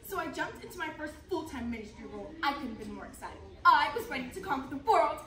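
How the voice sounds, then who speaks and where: A woman's voice: high-pitched, sing-song, fast, pushed and bright, exaggerated voice. A young woman playing an earnest youth pastor telling her story in a projected, theatrical readers theater style. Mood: excited, confident. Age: young adult. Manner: projected, emphatic, theatrical delivery. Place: large conference room, judges' table in front. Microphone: distant camera. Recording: echoey room recorded from far off, audience sounds.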